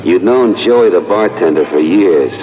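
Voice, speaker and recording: An actor playing a mocking nemesis who needles like an inner voice in an old-time radio drama. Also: male voice, low-pitched, lively intonation, a little slow. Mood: needling, ominous. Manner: mocking, needling second-person narration. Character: taunting, deep-voiced nemesis heard as an inner voice. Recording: dull old radio recording with music. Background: dramatic music.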